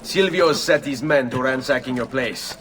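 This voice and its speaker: An actor playing a courteous, practical young assassin in a video game, calm and matter-of-fact, with an Italian accent. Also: male voice, medium pitch, normal speed, background noise, natural voice. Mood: urgent, practical. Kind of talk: video game cutscene acting.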